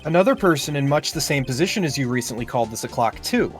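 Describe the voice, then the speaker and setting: Young male defense attorney acted by a man for a courtroom game: snarky, earnest, animated, faint music behind. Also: mid-range voice, fast talker, lightly acted. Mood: insistent. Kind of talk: amateur video game dub.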